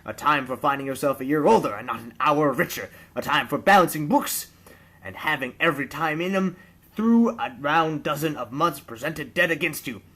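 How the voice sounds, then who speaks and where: A man's voice: fairly high pitch, moderate pace, fairly bright tone. A man voicing a grumpy, miserly old uncle in a storybook read-aloud, curt, stern and indignant.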